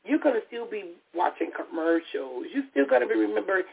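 A woman hosts her own radio talk show, her voice raspy from a lingering cold and gravelly with fry. She talks in a heartfelt, personal way, stumbling and restarting now and then. The audio comes through a narrow, tinny phone line. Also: mid-range voice, very animated, a little fast. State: recovering from a cold. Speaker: radio show host and therapist. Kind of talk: solo internet radio talk.

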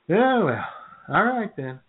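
Wry cynical man on a tinny line. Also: sits high. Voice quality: wry, self-described cynical, frequent throat-clearing. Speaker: podcast co-host. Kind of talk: casual comedy chat. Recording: tinny phone-like audio.

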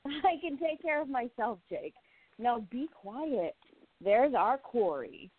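Woman as bold flirty flapper, 1920s radio drama, highish lively voice, sassy delivery, dry narrow-band sound. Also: slow, dry recording. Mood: sassy, flirtatious. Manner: sassy, breezy, self-assured. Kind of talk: 1920s radio play acting. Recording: tinny narrow-band phone-like audio.

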